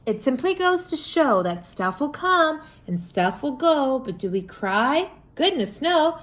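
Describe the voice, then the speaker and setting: High-voiced woman reading slowly to children. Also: reverberant. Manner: expressive, sing-song, addresses children with questions. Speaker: children's story reader. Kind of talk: read-aloud for young children with sung refrains.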